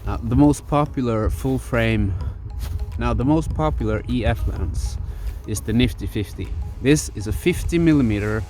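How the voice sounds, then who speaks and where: A man's voice: medium pitch, a little mellow. Norwegian-accented man explaining over music. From Norway. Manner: careful, step-by-step explaining with deliberate word choice. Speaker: camera gear reviewer. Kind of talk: explanatory tech vlog. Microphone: phone camera. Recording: vlog with music underneath.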